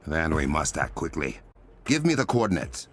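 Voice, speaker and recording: Regal warrior king, African accent, very low voice, lively intonation, faint music. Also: normal speed, full character voice. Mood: firm, focused. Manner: regal, firm, formal. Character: resolute, commanding African warrior king, protective brother. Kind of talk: video game cutscene acting.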